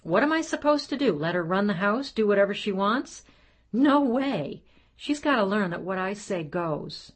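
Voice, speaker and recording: A woman's voice: fairly low pitch, a little fast, few pauses, close to an everyday voice. A woman reading as a bossy, controlling young dad. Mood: exasperated. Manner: loud, insistent, demanding. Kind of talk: audiobook reading of quoted dialogue.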